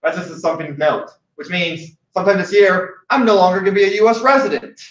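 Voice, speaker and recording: A young man from Hawaii with a slightly high voice talks loosely as a host, trailing off and restarting his sentences. He sounds a little far from the mic in a roomy space, and the sound is somewhat dull. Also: fast. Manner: rambling, many restarts and fillers. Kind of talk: casual chat. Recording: echoey room, distant mic, dull sound.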